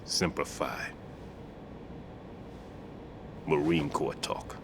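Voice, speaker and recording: A man playing a grave, injured bomb disposal expert in a video game, speaking in a measured, technical, solemn way. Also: rumbling low, fairly even intonation, noisy background. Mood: suspicious. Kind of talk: video game cutscene acting.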